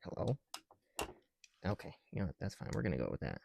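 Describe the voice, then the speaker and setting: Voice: low voice, wildly swooping pitch, quick pace, gravelly, mellow, slight background noise. A person talking casually to themselves in a rambling ASMR style while working with their hands, informal and prone to swearing. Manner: informal, muttering to self, sweary. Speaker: ASMR video creator.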